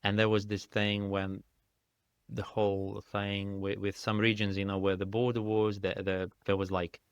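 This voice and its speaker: A man from Russia whose first language is Russian, speaking English in a thoughtful, halting way with many restarts as an indie game developer guest. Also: on the low side, level tone, clear, resonant tone, no echo, lo-fi sound. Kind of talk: casual interview conversation. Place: lives in the UK.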